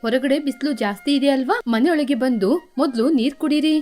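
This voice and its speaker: A woman voicing a kind, patient mother sparrow in a children's cartoon, explaining things gently. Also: high-pitched, quick pace, natural voice. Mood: patient, welcoming.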